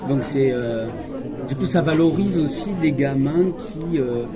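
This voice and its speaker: A man's voice: on the high side, a little slow. A man, a stage actor and reader from France, talking casually in an interview, with lots of fillers and restarts. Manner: chatty, many fillers and restarts. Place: large hall with a crowd. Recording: loud crowd chatter behind.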